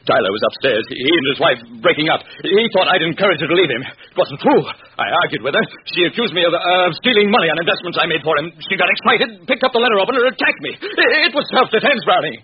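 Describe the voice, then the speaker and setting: Male, hearty two-faced crook, blustering and easily rattled; highish, swooping voice, old muffled radio drama. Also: lively intonation, fast, hardly any pauses. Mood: frightened, pleading. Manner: blustering, emphatic. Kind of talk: vintage radio drama acting. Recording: muffled vintage radio recording with faint noise.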